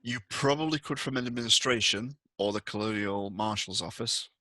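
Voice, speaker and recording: Male game master, lowish but bright voice, very dry close sound, casual delivery. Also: fairly quick, no room sound. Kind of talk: casual tabletop game refereeing.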